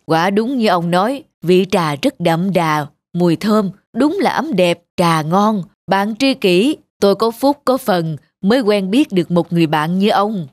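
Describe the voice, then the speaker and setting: A woman's voice: medium pitch, animated, unhurried, hardly any pauses, a little mellow, no room sound, lightly acted. A woman voicing a courteous middle-aged man, a polite and formal visiting friend in a folk-tale cartoon. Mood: admiring. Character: courteous middle-aged male friend, admiring house guest. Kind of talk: dubbed moral folk-tale cartoon dialogue.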